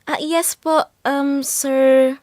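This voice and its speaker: A woman's voice: sits high, level tone, drawn-out words, a little mellow, no room sound, clean studio sound. A woman with a Filipino accent playing a timid, apologetic young job applicant in an audio drama. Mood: nervous, apologetic. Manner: hesitant, deferential. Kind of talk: Tagalog audio drama acting.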